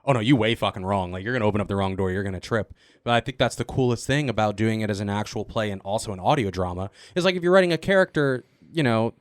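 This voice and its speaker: Fast-talking man who swears casually. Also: fairly low pitch, dry recording, clean studio sound. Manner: animated, swears freely. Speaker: cast member guest. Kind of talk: casual chat.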